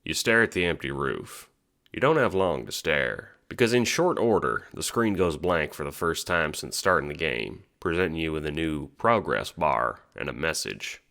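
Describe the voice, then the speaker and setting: A man's voice: very deep voice, big pitch swings, normal speed, creaky, a bit bright. A man reading second-person scripted narration for an audio drama. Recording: background music under the voice.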